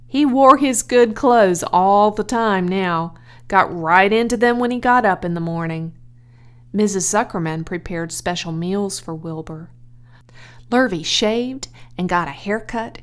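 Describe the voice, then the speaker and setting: A woman narrating a children's audiobook, reading scripted prose in an expressive, clearly phrased way. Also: mid-range voice.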